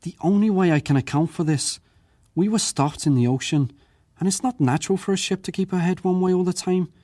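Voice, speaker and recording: A man acting as a working ship's crewman giving testimony at an inquiry, plain-spoken, measured and deferential, in a dramatized reading. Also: high voice, warm tone, no room sound, clean studio sound, lightly acted. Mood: deferential, careful. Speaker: witness.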